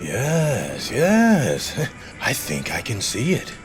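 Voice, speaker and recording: An actor playing an evasive, self-important mayor with a measured, curt manner in an audio drama. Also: male voice, medium pitch, wildly swooping pitch, over music, full character voice. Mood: guarded interest.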